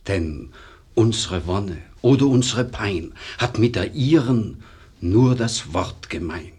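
An actor playing a refined, disdainful disciple of a master painter in a 1950s radio verse drama, declaiming in a measured, lofty way. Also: male voice, fairly low pitch, breathy edge.

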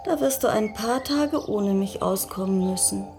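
Cool, mocking middle-aged wife played by an actress. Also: female voice, mid-range voice, measured pace, a little mellow, faint music behind, natural voice. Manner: dry, teasing, self-possessed. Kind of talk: naturalistic radio drama dialogue.

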